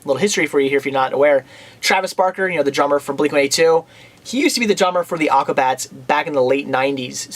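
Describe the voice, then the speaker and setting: A young man chatting casually and enthusiastically in a home vlog, talking fast with lots of fillers, his voice a bit worn from a coming cold. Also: on the high side. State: losing his voice, getting a cold. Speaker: video blogger reviewing purchases.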